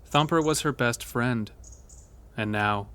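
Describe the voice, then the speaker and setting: A man's voice: medium pitch, slow pace, fairly bright tone, clean tone. A man narrating a scripted horror story, with a mid-range voice.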